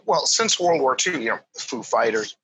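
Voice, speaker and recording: A man's voice: mid-range voice, moderate pace, breathy edge, poor audio. A man who grew up in Korea with English as his first language, talking casually as a podcast guest with lots of fillers and hesitations. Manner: relaxed, hesitant, many fillers. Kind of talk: casual chat.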